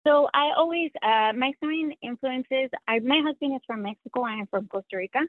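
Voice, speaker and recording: A woman's voice: high voice, a little fast, no echo. Earnest woman on a phone line. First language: Spanish. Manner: earnest, reflective, self-critical. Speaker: guest, sewing content creator. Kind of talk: interview conversation. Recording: tinny dry phone line.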